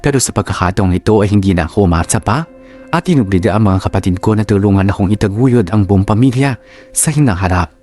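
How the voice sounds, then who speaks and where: A man with a Filipino accent performing a letter writer's family story as measured, solemn radio drama narration. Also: on the low side, a little mellow, natural voice. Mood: solemn, reflective. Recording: music bed under voice.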